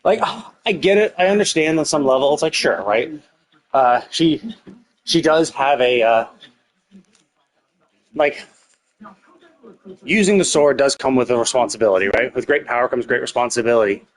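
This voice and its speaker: A man's voice: medium pitch, expressive, moderate pace, pauses often, rough recording. A man streaming a story game, thinking aloud in a casual, rambling, sarcastic way and swearing freely. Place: indoors, livestream setup. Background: music.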